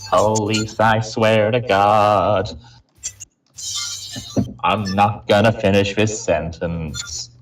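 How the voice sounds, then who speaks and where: A man chatting casually on a group stream, thinking aloud in a halting way. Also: on the low side, level tone, soft background music. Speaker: stream participant. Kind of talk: casual chat. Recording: distant mic, faint noise and clicks.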